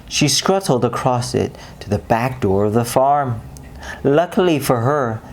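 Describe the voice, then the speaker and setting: Female storyteller for children's bedtime tale: very deep register, animated and dramatic delivery, some background noise. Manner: dramatic, with deliberate pauses and emphasis.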